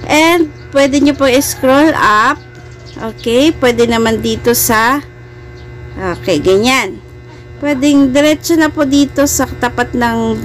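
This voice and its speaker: A woman's voice: a little high, poor audio. A Filipino woman hosting a casual, friendly and chatty step-by-step phone editing tutorial for beginners, speaking politely to her viewers. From Philippines. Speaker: host of a video editing tutorial channel.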